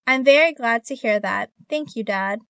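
Eager teenage girl for an exam dialogue, read by a woman, fairly high and dry. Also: moderate pace, fairly bright tone, dry recording. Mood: earnest. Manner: clear, careful textbook delivery. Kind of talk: scripted listening-test dialogue.

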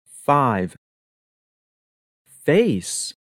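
A woman reading an English listening test aloud, slowly and deliberately, saying each item twice. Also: rumbling low, big pitch swings, dry recording, clean studio sound. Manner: reads each item twice, evenly spaced. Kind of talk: slow, deliberate test dictation.

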